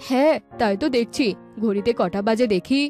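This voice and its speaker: A woman's voice: a little high, fairly quick, a little mellow, soft background music, natural voice. A woman voicing a village mother in a cartoon. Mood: puzzled. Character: village mother of a young girl. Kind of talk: cartoon dialogue.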